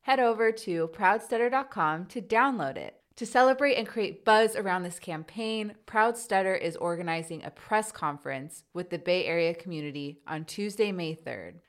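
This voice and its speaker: A woman from San Francisco hosting a podcast, reading upbeat announcements about a stuttering advocacy campaign. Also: on the low side.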